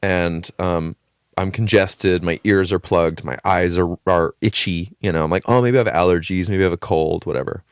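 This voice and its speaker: A man's voice: low-pitched, fairly even intonation, normal speed, smooth, no echo, clean studio sound. A young man co-hosting a podcast, telling a long story in a casual, rambling way with fillers and the odd swear word. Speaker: podcast co-host. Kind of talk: casual chat.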